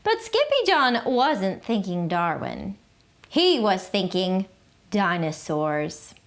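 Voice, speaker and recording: A woman reading a children's picture book aloud, slowly and expressively. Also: medium pitch, very animated. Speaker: storyteller.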